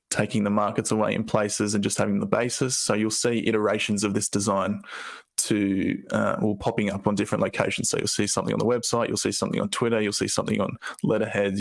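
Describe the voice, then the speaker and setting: A man with a croaky voice, casually presenting a team update with loose, rambling phrasing. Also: lowish voice. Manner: loose, rambling, with fillers and restarts.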